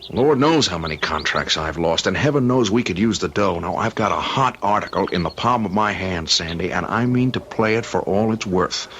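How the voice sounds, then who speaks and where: An actor playing a stubborn, restless American husband in an old radio drama, blunt and colloquial, with an American accent. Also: male voice, low voice, animated, moderate pace, few pauses, fairly bright tone, slightly rough, muffled recording, exaggerated voice. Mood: defensive, determined.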